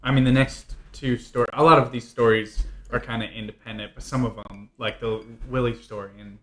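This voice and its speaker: A younger adult man co-hosting a casual conversation, talking in a halting way and often rephrasing as he goes. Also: medium pitch, steady tone, a bit bright. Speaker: podcast co-host.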